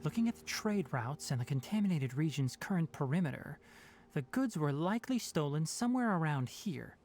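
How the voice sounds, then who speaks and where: A woman voicing a clever, composed young male forest ranger in a video game, explaining things in a measured, matter-of-fact way. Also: low-pitched, lively intonation, darkish tone, a little hiss or hum. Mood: calm, analytical. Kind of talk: English game voice acting. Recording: game music under voice.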